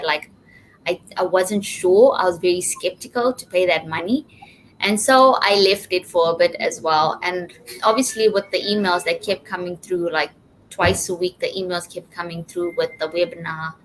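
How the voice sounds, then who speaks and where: A woman from Zimbabwe with a slightly low voice talks casually as an interview guest. She is on a livestream call with faint background noise. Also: fairly bright tone, rough recording. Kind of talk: casual personal testimonial.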